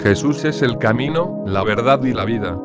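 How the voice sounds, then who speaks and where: A man giving a scripted devotional reflection on a gospel passage in a steady, even reading voice. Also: mid-range voice, normal speed, lo-fi sound, over loud music.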